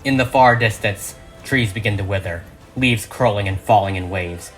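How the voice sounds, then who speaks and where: A man's voice: lowish voice, normal speed, a bit bright, faint music behind. A man reading a fan audio drama script as a narrator, with emphatic, theatrical delivery.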